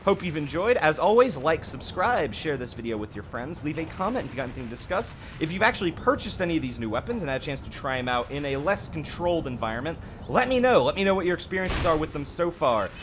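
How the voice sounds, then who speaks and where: A man's voice: a little high, very animated, fast, hardly any pauses, noisy background. A man hosting a gaming channel, giving casual update commentary in an opinionated, emphatic, conversational way.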